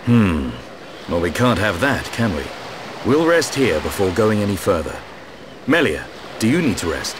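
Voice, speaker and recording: A man playing a gruff but caring young soldier and protective older brother in a video game, speaking in a dry, measured way. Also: fairly low pitch, very animated, noisy background. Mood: dry, calm. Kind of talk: video game cutscene voice acting. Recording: loud music behind the voice.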